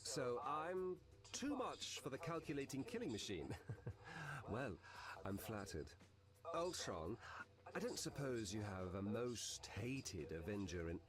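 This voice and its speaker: An actor playing a silver-tongued, scheming trickster god in a video game, with theatrical, flattering delivery. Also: male voice, mid-range voice, unhurried. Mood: teasing, amused. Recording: music behind the game voice.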